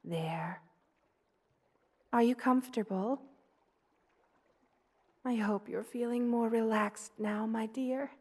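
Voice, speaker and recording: A woman voicing a gentle, loving, motherly spider queen in a soft, hushed ASMR roleplay, tender and wistful, often slipping toward a whisper. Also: a little high, slow, pauses often. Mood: soothing, motherly.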